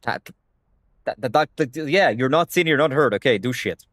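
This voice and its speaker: A man's voice: medium pitch, animated, normal speed, a bit bright, no echo, studio quality. A young man whose first language is Hebrew, talking bluntly to his stream chat and swearing freely. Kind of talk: casual livestream rant.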